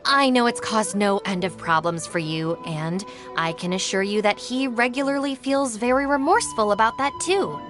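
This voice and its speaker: A polite, high-voiced young deputy. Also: female voice. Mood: earnest, apologetic. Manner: polite, formal, smooth-talking apologist. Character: composed, persuasive young woman, deputy of a rowdy gang. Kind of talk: video game voice acting.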